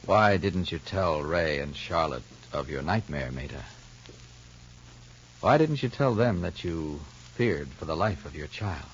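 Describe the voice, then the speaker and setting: A man's voice: animated, unhurried, a little hiss or hum. Dramatic old-time radio announcer man. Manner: dramatic, booming, persuasive. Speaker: announcer and narrator. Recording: dull lo-fi old radio recording.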